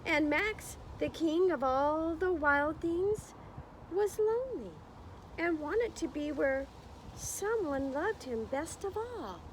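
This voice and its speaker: A woman's voice: sits high, animated, takes it slowly, a little hiss or hum, low-quality recording. A woman reading a picture book aloud to young children, expressively, with encouraging, chatty explanations along the way. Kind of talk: slow children's read-aloud with chatty asides to listeners.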